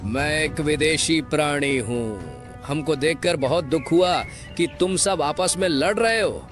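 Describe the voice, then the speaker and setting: A man's voice: a little high, measured pace, music in the background, lightly acted. A man voicing a solemn foreign camel peacemaker in a cartoon, earnest, with slightly broken grammar. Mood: solemn, concerned. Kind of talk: animated moral-story character acting.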